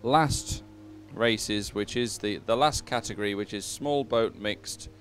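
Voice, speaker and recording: A man commentating live at a sporting event and reading out results in a hesitant, halting way. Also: on the low side, a bit bright, soft background music.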